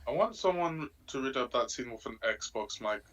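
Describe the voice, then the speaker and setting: A woman's voice: very deep voice, animated, normal speed, a bit bright, a little hiss or hum, low-quality recording. A woman joking and teasing in casual group commentary over a film. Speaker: panelist on a film watch-along. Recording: film and group chatter behind voice.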